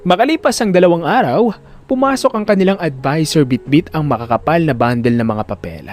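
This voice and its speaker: A man narrating a scripted drama story in an expressive, emphatic storyteller's delivery. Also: fairly high pitch, a little fast, faint music behind.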